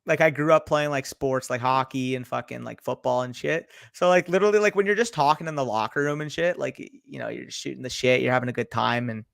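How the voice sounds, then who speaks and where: A man's voice: mid-range voice, fast talker, vocal fry, hardly any pauses, fairly bright tone, dry recording, clean studio sound. A young man with a Canadian accent, co-hosting a casual sports podcast and trading swear-laced banter. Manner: swearing, laid-back banter. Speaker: podcast co-host.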